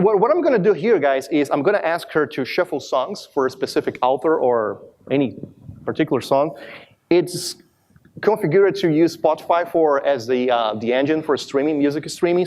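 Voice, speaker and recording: Animated Brazilian man presenting on stage. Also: on the high side, slight background noise. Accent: Brazilian Portuguese. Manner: animated, self-deprecating, many restarts. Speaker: conference speaker. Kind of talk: live tech demo talk. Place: large conference hall with audience.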